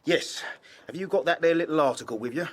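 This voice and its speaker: An actor playing a plain-spoken working-class police aide, colloquial and exclamatory, in a period audio drama. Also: male voice, on the high side, fast, slight background noise, natural voice. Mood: conspiratorial.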